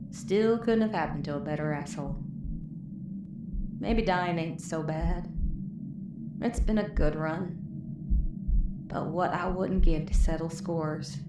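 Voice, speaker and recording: Tough, low-voiced Southern wasteland woman played by an actress. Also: natural voice. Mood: bitter, resigned. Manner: folksy, profane, weary storytelling. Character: tough, bitter, foul-mouthed wasteland moonshiner woman, wounded and fading. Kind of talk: dramatic first-person storytelling in audio drama. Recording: roomy echo, clear background noise.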